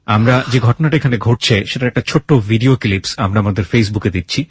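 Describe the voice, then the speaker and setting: A man with a husky voice, hosting a radio programme and addressing his listeners. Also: mid-range voice, fairly quick, dry recording. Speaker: radio show host. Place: radio studio.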